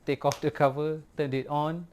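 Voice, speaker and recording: A man whose first language is Malay, giving a casual, unhurried product review in English with many pauses and fillers. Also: on the high side, little pitch movement, darkish tone, a little hiss or hum. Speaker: record store owner reviewing gear.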